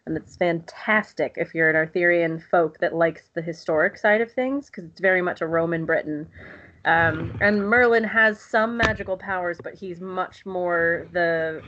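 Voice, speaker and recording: A woman's voice: on the low side, a bit bright, muffled recording. A woman from the United States, talking casually and enthusiastically as an interview guest, rambling with frequent ums. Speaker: guest, literature lecturer.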